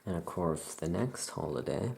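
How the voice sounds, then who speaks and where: A performer voicing a chatty, musing necromancer witch shopkeeper in a soft-spoken ASMR roleplay, with writing sounds behind. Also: low voice, lively intonation, moderate pace, creaky, slight background noise, full character voice. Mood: relaxed, musing.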